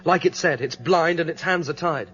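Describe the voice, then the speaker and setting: An actor playing a determined fugitive leader who explains things in a matter-of-fact, reasoning way, in a radio drama. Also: male voice, on the high side, moderate pace, a bit bright, lightly acted. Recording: dull radio drama recording, faint hiss.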